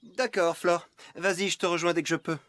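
Easygoing, helpful young farmhand. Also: male voice, high voice, little pitch movement, a little fast, a bit bright, no echo. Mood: calm. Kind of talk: French children's cartoon dubbing.

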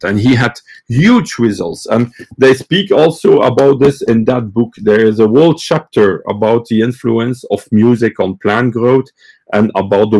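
A man's voice: mid-range voice, vocal fry, a little mellow, low-quality recording. A man in his forties with a Flemish Belgian accent, French his first language, hosting a casual live stream and speaking hesitantly with many fillers and restarts, filmed close up in a small room. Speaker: host of a live stream on gardening. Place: small room with bookshelves, indoors.

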